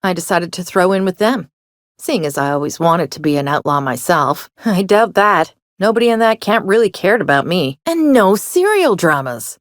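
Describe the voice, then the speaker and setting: Sardonic young female would-be outlaw for a game: wry, theatrical, very lively intonation, dry studio sound. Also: fairly low pitch, normal speed, no echo. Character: sardonic, serial-obsessed young woman, would-be frontier outlaw. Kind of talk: video game character dialogue.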